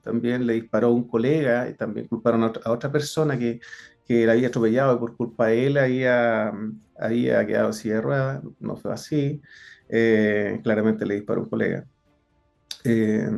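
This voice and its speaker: A man's voice: medium pitch, vocal fry, a little mellow. A middle-aged man with a Chilean Spanish accent, a former police investigator telling his story as an interview guest, earnest and full of hesitations and restarts. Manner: frequent hesitations and self-corrections, earnest. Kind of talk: interview, personal account.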